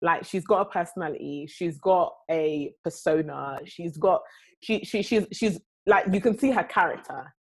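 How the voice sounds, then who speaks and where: Husky-voiced young woman chatting. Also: on the low side, normal speed. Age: young adult. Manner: animated, talks in long runs with many fillers. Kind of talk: casual chat.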